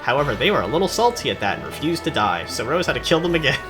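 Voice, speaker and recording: A man's voice: a little high, sing-song, rapid-fire, over music. A man hosting casual comedic commentary on game cutscenes, joking and rambling in slangy speech. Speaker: video host.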